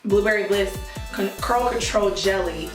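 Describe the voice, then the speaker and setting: A woman in her thirties with a hoarse, worn voice, chatting through a hair tutorial in an emphatic, friendly way. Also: medium pitch, measured pace, roomy sound, distant mic, music in the background. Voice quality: hoarse, lost voice. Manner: chatty, emphatic, says y'all a lot. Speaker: hair vlogger. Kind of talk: casual hair tutorial chat.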